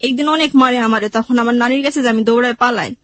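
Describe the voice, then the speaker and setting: A woman with a clearly high voice and a Bangladeshi accent shares a personal story. She speaks plaintively and voices remembered conversations as she goes. The recording is dry and close. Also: fast, no echo. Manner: plaintive, acts out remembered dialogue. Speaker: guest telling her life story. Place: radio studio.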